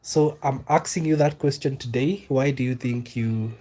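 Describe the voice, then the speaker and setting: A man's voice: mid-range voice, dark tone. A young man from Kenya chatting casually on a live stream, reading out comments and asking viewers questions, with lots of ums and repeats. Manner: loose and unhurried, many fillers and repeated questions. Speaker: livestreamer reading chat.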